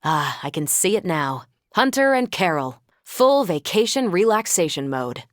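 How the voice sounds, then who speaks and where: Game heroine: confident military superhero, slightly low female voice, wry and easygoing team player, recorded very dry. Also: very animated, a little slow, no room sound. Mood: warm, playful. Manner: casual, wry, self-assured. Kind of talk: video game voice acting.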